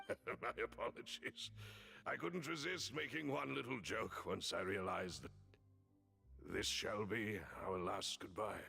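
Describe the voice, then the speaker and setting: A man voicing a gallant, sardonic, loyal gentleman bodyguard in a video game, speaking in a formal, florid way. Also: fairly low pitch, measured pace, gravelly, soft background music, full character voice. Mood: wry, tender. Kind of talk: theatrical video game voice acting. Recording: long hall reverb.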